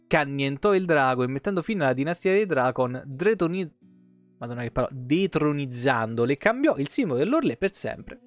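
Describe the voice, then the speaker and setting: Man, thirties, somewhat high voice, game streamer, reading lore aloud, irreverent casual commentary, faint background music. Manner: irreverent, foul-mouthed asides.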